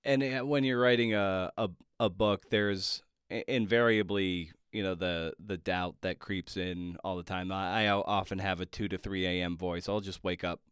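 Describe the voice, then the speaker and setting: Smooth, low-voiced man interviewing, halting delivery. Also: fairly even intonation, fairly bright tone, no room sound, clean studio sound. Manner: halting, repeats words as he builds long questions. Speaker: podcast host interviewing an author. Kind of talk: conversational interview questions.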